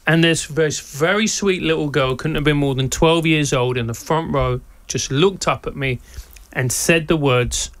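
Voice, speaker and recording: South london guy, spanish was his first language, chatty and wry, a bit high-pitched. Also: normal speed. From England. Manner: lively anecdotal delivery with wry humour and laughs. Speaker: guest, podcast co-host and former teacher. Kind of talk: conversational interview storytelling.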